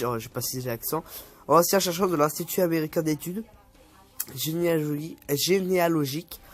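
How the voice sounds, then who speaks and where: A young man vlogging casually, reading an article aloud and stumbling over words, with many fillers. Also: a little high. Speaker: youtuber.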